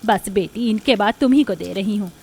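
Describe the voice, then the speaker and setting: A woman's voice: medium pitch, fairly quick, darkish tone, breathy edge. Woman as a thrifty older cartoon mother-in-law. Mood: kindly. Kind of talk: Hindi moral-story cartoon dialogue. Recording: music behind the voice.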